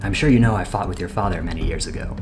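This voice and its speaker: A young man hosting a game playthrough, reading character dialogue aloud in a plain voice and then chatting casually about his choices. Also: low-pitched. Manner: reads character lines plainly, then chats casually with pauses and fillers. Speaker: let's play host. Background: game music.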